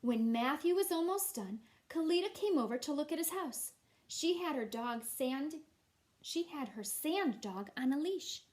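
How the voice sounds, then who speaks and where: A woman's voice: high voice, animated, measured pace, a little mellow. A woman teacher reading a children's story aloud expressively, with casual, encouraging asides.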